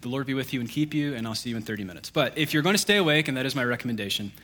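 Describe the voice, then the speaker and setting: A man in his thirties, a pastor, reading scripture and then preaching in a relaxed, joking, conversational way with fillers. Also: medium pitch, rapid-fire. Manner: self-deprecating jokes, conversational with fillers. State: congested.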